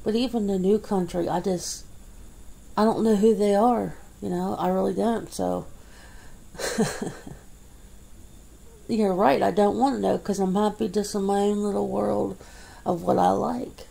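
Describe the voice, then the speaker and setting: A woman's voice: mid-range voice, steady tone, measured pace, gravelly, long pauses, mellow, husky. A woman doing an ASMR roleplay at home, rambling chattily to an unseen partner with frequent laughs and fillers. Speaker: ASMR creator. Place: indoors at home.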